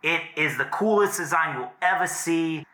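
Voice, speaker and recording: Effusive, swearing boyfriend, bright forceful voice. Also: male voice, on the high side. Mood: excited, boastful. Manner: casual, swearing, effusive, halting. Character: exuberant, profane, sentimental boyfriend leaving phone messages. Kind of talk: naturalistic audio drama acting.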